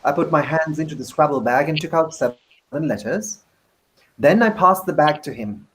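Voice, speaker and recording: A male actor gives a dramatic reading of a first-person novel. His voice sits a little high for a man, and he narrates in a measured, deliberate way. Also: animated, poor audio.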